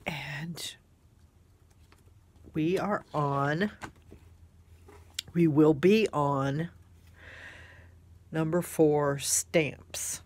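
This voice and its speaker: Female craft vlogger, low voice, slow rambling delivery, gushing and exclamatory, stuffed-up nose. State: congested, snotty nose. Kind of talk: casual rambling chat while showing craft items.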